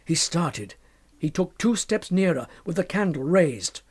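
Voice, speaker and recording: A man narrating a literary tale as an audiobook, dramatic, measured and formal in his delivery. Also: on the high side, lively intonation, slightly rough.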